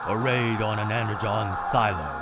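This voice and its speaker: A man voicing a cold, logical male robot scientist who narrates in a clinical, measured, detached way for a comic dub. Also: fairly low pitch, fairly even intonation, lightly acted. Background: loud music.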